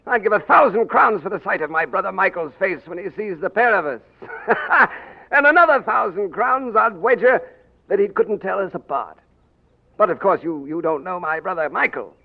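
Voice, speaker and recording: An actor playing a dashing, courtly gentleman of royal looks in a theatrical 1940s radio drama. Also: high-pitched, animated, moderate pace, exaggerated voice. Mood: excitable, hearty. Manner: theatrical, courtly, crisply spoken. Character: dashing gentleman adventurer and his excitable royal double. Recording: tinny, narrow old radio broadcast.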